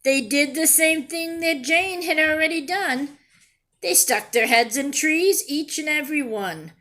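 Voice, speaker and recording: A woman reading a picture book aloud to young children in an expressive, deliberate way, pausing to ask simple questions and explain things. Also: high voice. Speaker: children's storyteller and teacher.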